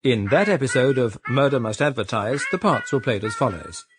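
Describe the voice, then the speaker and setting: A man's voice: mid-range voice, lively intonation, brisk. A man with a British accent reading scripted drama credits as a radio announcer. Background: music.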